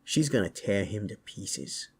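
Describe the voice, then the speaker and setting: A man's voice: lowish voice, animated, unhurried, vocal fry, husky, full character voice. A man voicing a brash, impatient orc warrior and protective family man in a roleplay audio drama, swearing freely. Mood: menacing pride.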